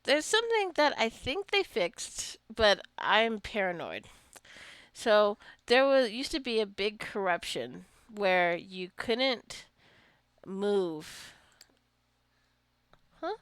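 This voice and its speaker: A woman's voice: medium pitch, sing-song, slow, fairly bright tone, clear, resonant tone, no echo. A woman casually narrating her own video game play, rambling into asides and playfully scolding the characters as she goes. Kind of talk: casual gameplay commentary. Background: life simulation game being played.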